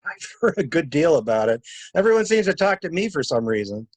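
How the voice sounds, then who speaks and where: A man's voice: fairly high pitch, very animated, a little fast, a little mellow, dry recording. A man playing a shrewd, blunt stranger who questions people like a detective in a dramatic mystery reading. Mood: blunt, assertive. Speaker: amateur reader, library staff.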